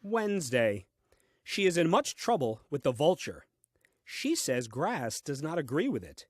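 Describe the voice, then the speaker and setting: Male actor as grumbling first man, higher-pitched, very animated, theatrical, pedantic, comic audio play monologue, dry close sound. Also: measured pace, no echo. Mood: indignant. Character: exasperated, literal-minded first man Adam, puzzled and grumbling.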